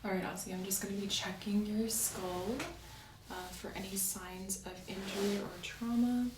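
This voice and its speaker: A soft-spoken woman doing an ASMR medical roleplay as a doctor, giving gentle, polite step-by-step instructions. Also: medium pitch, fairly even intonation, moderate pace, distant mic. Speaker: ASMR performer playing a doctor. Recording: roomy sound, off-mic, faint hiss.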